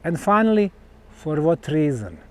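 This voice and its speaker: A man gives an explanatory talk as a researcher on location, speaking deliberately in short phrases with pauses. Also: on the high side, sing-song, a little mellow. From Bosnia. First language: Bosnian. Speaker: researcher presenting a site.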